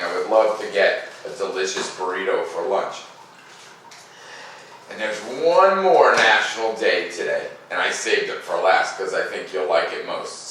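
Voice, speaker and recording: A middle-aged American man, a teacher speaking to young children with animated, exaggerated emphasis. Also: fairly low pitch, slight background noise. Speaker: teacher reading stories aloud to young pupils. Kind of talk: casual chat to children, then storybook read-aloud. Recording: echoey room, a bit far from mic.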